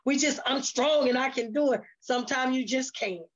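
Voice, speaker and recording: Casting: woman, high voice, emphatic exhorting speaker who repeats points for effect, dull lo-fi audio. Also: moderate pace. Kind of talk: earnest motivational talk.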